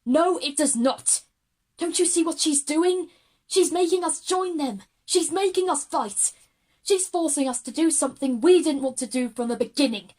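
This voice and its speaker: A woman's voice: high voice, moderate pace, a little mellow, pitched above the performer's own voice, lightly acted. A woman playing a hot-headed, frightened schoolchild, emphatic and agitated, in an audio drama. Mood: angry, distraught. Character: hot-headed, frightened, emotional schoolchild Ryan, accusing and loud. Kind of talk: audio drama acting for young audiences.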